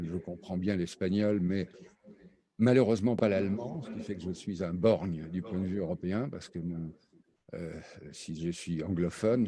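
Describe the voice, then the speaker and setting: An older man, raised between Brazil and France, recalling his path as an interview guest in a halting way, with many fillers and restarts. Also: low-pitched, mellow. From raised half in Brazil, half in France. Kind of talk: hesitant spoken recollection.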